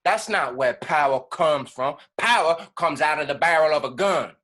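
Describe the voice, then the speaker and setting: Actor as a blustering, sharp-edged military hawk. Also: male voice, fairly high pitch, normal speed. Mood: scornful taunting. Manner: scornful, folksy, taunting. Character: blustering, combative military man who scorns environmentalists. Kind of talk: scripted stage-style dialogue.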